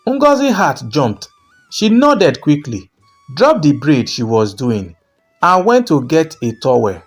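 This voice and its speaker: Dramatic folktale narrator, really animated pitch, bit of music under it. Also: takes it slowly. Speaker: storyteller, narrator.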